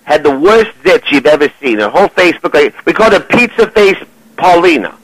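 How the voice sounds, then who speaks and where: A man's voice: on the high side, very animated, slightly rough, dry recording. A man voicing a brash, swearing middle-aged hustler with a New York accent in a prank phone call. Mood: indignant. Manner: pushy, swearing, drawn-out delivery.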